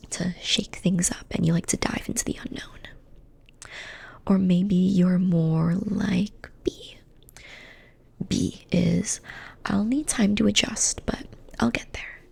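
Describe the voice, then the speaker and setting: A young woman whispering softly in an ASMR personality-quiz roleplay, slow and soothing, often repeating phrases. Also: on the low side. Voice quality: breathy. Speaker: ASMR host.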